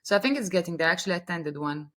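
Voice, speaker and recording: A woman's voice: fairly low pitch, expressive, very fast, fairly bright tone. A woman hosting a podcast interview, chatting in a friendly way and asking follow-up questions. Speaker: podcast host. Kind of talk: conversational interview.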